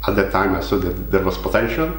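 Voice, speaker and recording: A man's voice: low-pitched, quick pace, echoey room. A man from Italy, a native Italian speaker, answering interview questions in casual, hesitant English with frequent restarts. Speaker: guest, property investor.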